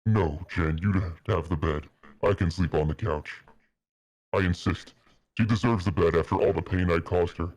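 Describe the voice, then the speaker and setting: A man's voice: low-pitched, fairly even intonation, normal speed, darkish tone, dry recording. A man reading fan fiction aloud on a stream, mock-earnest and melodramatic, with a casual style. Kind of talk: dramatic narration with casual asides.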